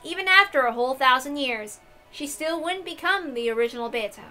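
A woman's voice: high-pitched, lively intonation, fairly quick, pushed and bright, faint music behind. A woman reading a visual novel aloud as scripted, dramatic narration.

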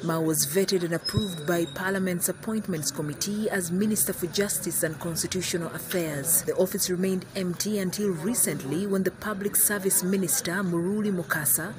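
A woman's voice: on the low side, normal speed, hardly any pauses, noisy background. Ugandan woman reading news voice-over. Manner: even, informative delivery. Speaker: news reporter.

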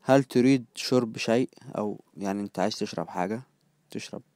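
A man with an Egyptian accent teaching phrases, repeating each one slowly and then glossing it in quick, casual asides. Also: fairly low pitch, darkish tone, no room sound. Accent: Egyptian Arabic. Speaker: language teacher. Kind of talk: phrase-by-phrase language lesson.

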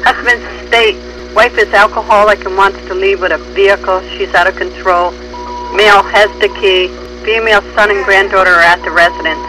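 A man's voice: high-pitched, steady tone, fairly quick, over music. A man working as a police dispatcher, giving procedural, clipped bulletins over police radio. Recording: noisy police scanner radio audio.

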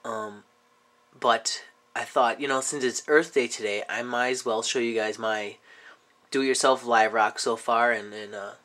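A man's voice: medium pitch, fairly even intonation, unhurried, pushed and bright. A man sick with a cold, talking casually in a home vlog, hesitant with many ums and restarts. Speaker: aquarium hobbyist vlogger. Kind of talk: casual show-and-tell vlog. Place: indoors at home.

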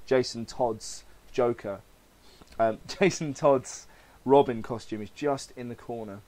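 A man's voice: medium pitch, measured pace, darkish tone. A man hosting a podcast, casually reading and narrating a comic-book story aloud. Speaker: podcast host.

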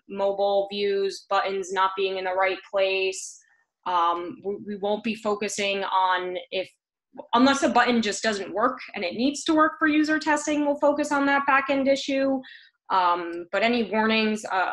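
A young woman presenting a team update on a video call, earnest and often pausing mid-sentence. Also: medium pitch, a bit bright, rough recording. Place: room at home, indoors. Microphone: computer mic on a video call.

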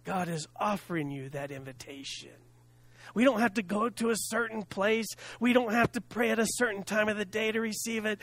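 A middle-aged man preaching a sermon from a church lectern, earnest and unhurried, dropping softly at the ends of phrases and laughing at words he stumbles over. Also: high-pitched. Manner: earnest, preaching from a lectern with pauses and soft drops; self-deprecating laugh at hard words. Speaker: pastor. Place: church sanctuary with stage and screen. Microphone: stand mic at lectern, filmed from the back.

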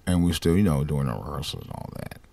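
Man with a light, lively voice. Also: fast, creaky, a little hiss or hum. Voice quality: light voice without much bass. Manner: rambling, repeats and restarts phrases. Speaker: podcast host. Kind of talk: casual personal monologue.

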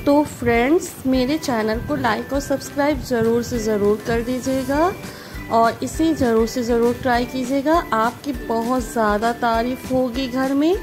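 A woman's voice: on the high side, moderate pace. A woman host giving a friendly step-by-step recipe voice-over, repeating emphatic praise for the dish. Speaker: cooking channel host. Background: background music.

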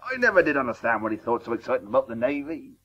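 An actor playing an ageing, proud and hearty celebrated cricketer in a radio drama. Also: male voice, mid-range voice, very animated, very fast, a bit bright. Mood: jovial. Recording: dull, restored 1980s radio tape.

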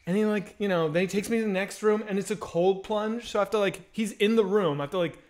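Man, 30s, slightly high voice, crude animated storyteller, headset mic, home video call. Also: fast. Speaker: podcast host. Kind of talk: casual chat. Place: home room with bookshelves.

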